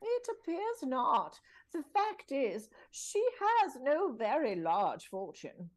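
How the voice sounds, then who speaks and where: Give me a gossipy, kindly older housekeeper from old-time radio, fussy and confiding, pitch swooping dramatically up and down. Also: female voice, big pitch swings, slow, heavily acted. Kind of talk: vintage radio drama acting.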